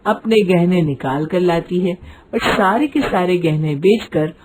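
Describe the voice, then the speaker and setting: A woman's voice: lowish voice, animated, unhurried, crowd noise, low-quality recording. A woman narrator reading a scripted moral family story.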